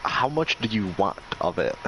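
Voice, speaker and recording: A young man from Michigan chatting excitedly in a voice chat, full of slang and quick to hype the other speaker up. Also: lowish voice, slight background noise, low-quality recording. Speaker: gamer in voice chat. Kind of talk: casual chat.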